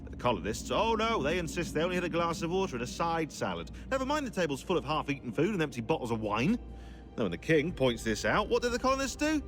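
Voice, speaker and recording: Animated, sarcastic British scholar. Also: male voice, a little high, fast talker. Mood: indignant, animated. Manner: wry, pedantic, emphatic storytelling. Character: sarcastic, bookish young British historian, animated and argumentative. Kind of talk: conversational video game voice acting. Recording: music playing behind the voice.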